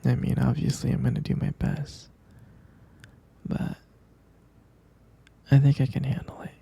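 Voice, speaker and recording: A man playing a devoted, soothing expectant father in an intimate ASMR roleplay, murmuring affectionately in a soft, breathy, husky whisper. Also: medium pitch, monotone, exaggerated voice. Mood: earnest, soft. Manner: slow, murmuring, reassuring, affectionate.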